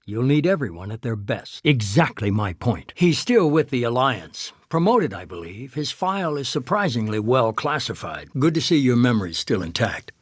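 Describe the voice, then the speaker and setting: An actor playing a cold, calculating middle-aged leader of a shadowy organization in a video game, speaking in a measured, authoritative way. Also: male voice, mid-range voice, sing-song, breathy edge, no room sound, studio quality. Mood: composed, calculating. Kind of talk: scripted video game dialogue.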